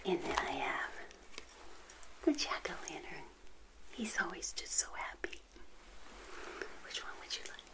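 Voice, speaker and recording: An older woman whispering a gentle, playful ASMR roleplay as a witch hostess, speaking straight to the listener in a breathy voice. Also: fairly low pitch, expressive, unhurried, pauses often.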